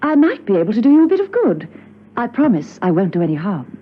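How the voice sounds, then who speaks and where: A woman's voice: mid-range voice, sing-song, fast talker, slightly rough. An actress playing a cool, polite and wry female secret agent working as a secretary in a radio drama. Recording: dull, noisy old radio recording.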